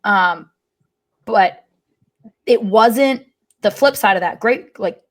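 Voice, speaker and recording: A woman's voice: mid-range voice, takes it slowly. A woman giving casual sports analysis as a podcast panelist, rephrasing as she goes.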